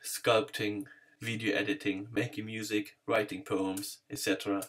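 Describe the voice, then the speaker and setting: A man's voice: lowish voice, moderate pace. A man in his thirties from Germany with a German accent, earnestly reading a scripted introduction of himself to a webcam in a small bedroom. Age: 30s. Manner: friendly, earnest, steady read-out delivery. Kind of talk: scripted self-introduction to camera.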